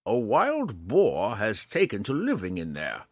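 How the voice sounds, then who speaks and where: A man voicing a crafty, mischievous old ranger with brisk, theatrical, formal speech in a fantasy audiobook. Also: on the low side, wildly swooping pitch, no echo, exaggerated voice. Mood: gruff, instructing.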